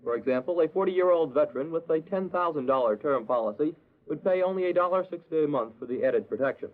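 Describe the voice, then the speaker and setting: A male radio announcer reads scripted copy in 1950s style. He talks very quickly and explains smoothly, on a thin, tinny old recording. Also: fairly high pitch, lively intonation.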